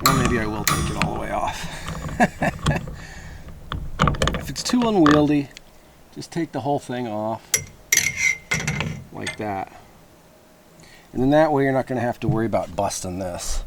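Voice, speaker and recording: A man's voice: medium pitch, lively intonation, measured pace, gravelly, pauses often, very noisy. A man giving a casual, rambling hands-on demonstration as he explains gear, in an informal manner. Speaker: presenter of CB radio antenna tests.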